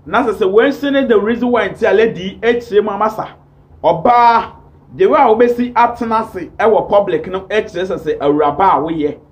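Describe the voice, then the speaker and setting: High-voiced Ghanaian man hosting. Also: moderate pace, lo-fi sound. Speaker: online show host. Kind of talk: gossip commentary to camera. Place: small indoor home studio.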